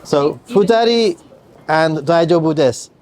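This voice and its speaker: A man's voice: on the high side, expressive, a little slow, a little mellow. Chatty enthusiastic male travel host. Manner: chatty, enthusiastic, fumbles words. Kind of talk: casual travel vlog chat.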